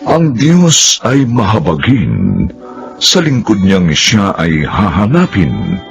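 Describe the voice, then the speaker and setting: Slow, gravelly radio announcer man. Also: low-pitched, wildly swooping pitch, muffled recording. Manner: slow, solemn, theatrical. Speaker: announcer and narrator. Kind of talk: closing credits announcement. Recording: music bed behind.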